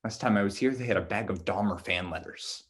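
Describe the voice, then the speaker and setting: A young man acting on stage as an offhand, quietly morbid dating partner, speaking in a casual, halting way. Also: on the low side, natural voice. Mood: awkward, casual. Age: young adult. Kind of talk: student stage acting, naturalistic dialogue.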